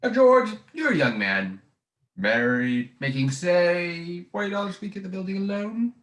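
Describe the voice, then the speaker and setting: A man's voice: sits high, expressive, moderate pace, fairly bright tone. A young man playing a domineering, scheming old tycoon in a 1940s-style radio play, with an imperious, cajoling manner. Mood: smooth, scheming. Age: young adult. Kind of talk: 1940s radio drama acting. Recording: echoey room over video call.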